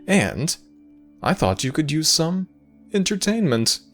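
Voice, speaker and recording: Casting: male actor as teasing Egyptian god of the afterlife, lively swooping delivery, sly dramatic pauses, fantasy audio drama over music. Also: on the high side, heavily acted. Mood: teasing, amused. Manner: theatrical, sly, measured storytelling with dramatic pauses. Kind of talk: scripted fantasy audio roleplay.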